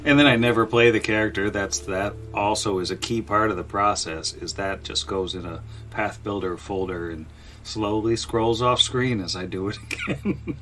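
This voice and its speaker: A man's voice: on the low side, fairly bright tone, slight background noise. A man chatting casually and jokingly on a podcast, rambling in short bursts and acting out his own asides. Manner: halting, filler-heavy rambling with acted-out asides. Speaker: podcast panelist.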